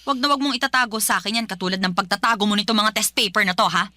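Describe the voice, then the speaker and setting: A woman's voice: medium pitch, very fast, sharp tone, no echo, clean studio sound, close to an everyday voice. A woman playing a stern, belittling Filipino mother in a radio drama, with a nagging, confrontational way of talking. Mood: angry, berating.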